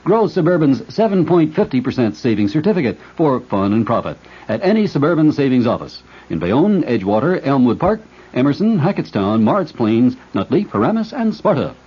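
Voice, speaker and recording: A man reading a scripted radio advertisement in a folksy, measured way. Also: medium pitch, sing-song, breathy edge. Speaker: commercial announcer. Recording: muffled vintage radio recording.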